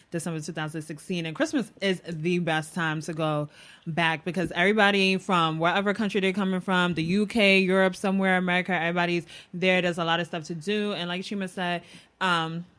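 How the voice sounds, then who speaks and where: A woman's voice: fairly low pitch, steady tone, a little fast, fairly bright tone. A woman from Ghana co-hosting a podcast, chatting casually, assertive and teasing, laughing often. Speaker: podcast co-host. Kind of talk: casual chat.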